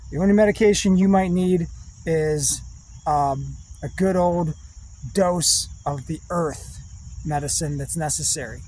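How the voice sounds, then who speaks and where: A man giving a rambling spiritual monologue in slow, drawn-out, meditative phrases with long pauses. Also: a little high, a little mellow, a little hiss or hum, rough recording. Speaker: spiritual speaker.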